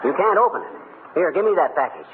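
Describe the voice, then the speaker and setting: A blustering, nosy middle-aged husband from an old radio comedy. His folksy voice sits high and swoops about excitedly. The recording is thin and tinny. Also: fast, heavy background noise. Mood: bossy, feisty. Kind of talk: 1940s radio comedy acting.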